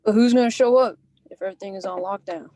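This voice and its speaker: A man's voice: high-pitched, fast, warm tone, no room sound, natural voice. A man reading the part of a questioning young boxer in a film script table read. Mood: doubtful.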